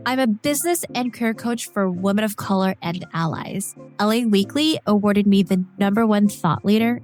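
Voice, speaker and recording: A woman hosting a podcast interview, talking in an energetic, chatty way with quick asides. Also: mid-range voice. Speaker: podcast host and career coach.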